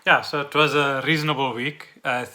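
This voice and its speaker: A man co-hosting a casual podcast, speaking in a halting, unscripted way. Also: mid-range voice, steady tone, bright, forceful tone. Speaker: podcast co-host. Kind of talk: casual conversational podcast chat.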